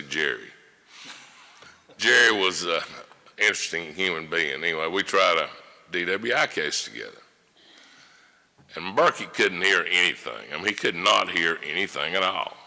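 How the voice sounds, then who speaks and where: Gravelly, forceful low-voiced man telling stories. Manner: folksy, halting anecdotal storyteller. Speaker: criminal defense lawyer giving a eulogy. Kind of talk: informal eulogy storytelling. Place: large indoor hall before an audience. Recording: echoey hall with long reverb.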